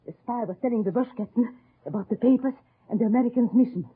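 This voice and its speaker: A woman's voice: on the high side, fast, husky. An actress playing a bitter, fierce middle-aged mother in the resistance, vehement and melodramatic, in old radio drama style. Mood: urgent, scornful. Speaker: actor. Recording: thin, tinny old radio broadcast.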